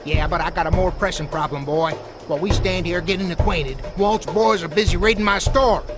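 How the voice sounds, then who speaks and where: An actor playing a gruff, folksy old gun store owner with a Southern American accent in a video game. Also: male voice, sits high, a little fast, over music, natural voice. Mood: urgent. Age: older. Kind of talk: video game cutscene acting.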